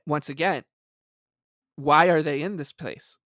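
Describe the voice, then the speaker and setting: A man's voice: on the high side, steady tone, unhurried, pushed and bright, dry recording, clean studio sound. A man co-hosting a sports podcast, talking casually and reading aloud with wry, sarcastic emphasis. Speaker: podcast co-host.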